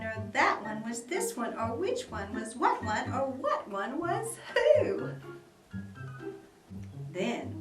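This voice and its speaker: Woman doing slow storytime read-aloud, swoopy high voice, whispery bits, roomy echo. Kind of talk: reading a children's book aloud. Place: room at home. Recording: roomy echo, mic at a distance, faint music.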